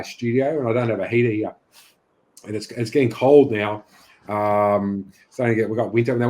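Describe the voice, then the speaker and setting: A man's voice: medium pitch, a little mellow. An Australian man talking as a guest on a video-call interview, enthusiastic and chatty, often restarting his sentences. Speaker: guest beekeeper. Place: unheated home studio.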